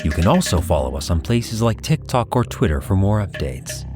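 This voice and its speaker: A man's voice: sits low, very animated, normal speed, music in the background. A man announcing, reading scripted podcast credits.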